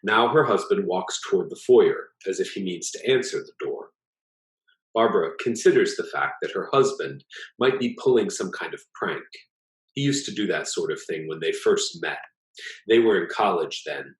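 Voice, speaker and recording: A low-voiced man reads literary fiction aloud in a measured way. His pitch moves expressively through the narration. The room adds a clear echo around him. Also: expressive. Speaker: author reading own short story. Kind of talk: literary story reading.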